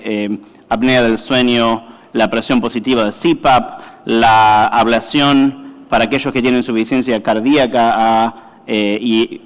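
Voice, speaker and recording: Halting male speaker in a hall. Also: mid-range voice. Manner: halting, many fillers and self-corrections. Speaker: conference speaker, cardiologist. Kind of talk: medical conference talk. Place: large lecture hall. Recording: reverberant hall.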